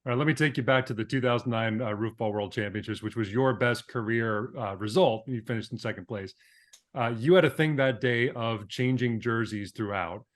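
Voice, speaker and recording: A man with a medium-pitched voice. He hosts a casual interview, putting things together haltingly, piece by piece. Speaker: podcast host, interviewer.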